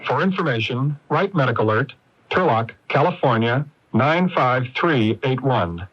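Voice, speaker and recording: A man's voice: mid-range voice, expressive, a little slow, husky. A man reading a scripted public service tag as an announcer. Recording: dull old radio with faint noise.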